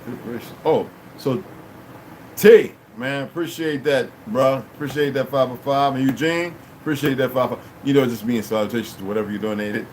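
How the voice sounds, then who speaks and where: A man's voice: medium pitch, a little fast, low-quality recording. A man hosting a livestream at home, chatting casually and rambling, thanking donors and often asking "you know what I mean". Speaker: livestream host. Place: room at home. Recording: steady air-conditioner hum behind.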